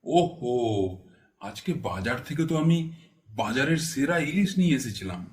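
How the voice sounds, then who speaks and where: Cartoon casting: generous village landlord, acted by a man, medium pitch, hugely varied theatrical intonation. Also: sing-song, normal speed, natural voice. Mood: pleased. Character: generous, fussy village landlord, grown son. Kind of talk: Bengali cartoon folk-tale dubbing.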